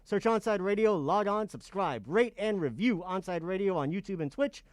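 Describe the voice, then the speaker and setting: A man hosting a sports radio show, thanking a guest and reading quick, friendly plugs. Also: high-pitched, expressive, dry recording, clean studio sound. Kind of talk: radio show host wrap-up and promos.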